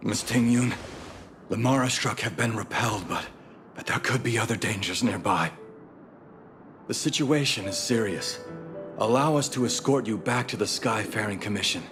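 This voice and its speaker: A man's voice: on the low side, normal speed, music in the background, close to an everyday voice. A man voice actor playing a dutiful soldier in a video game, formal and urgent in a military way. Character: urgent, dutiful male soldier guarding an envoy.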